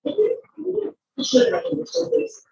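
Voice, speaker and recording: A woman reading a picture book aloud to a child, expressive, drawing out words and adding chatty asides. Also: extremely high pitch, takes it slowly, heavy reverb, distant mic, lo-fi sound, slight background noise.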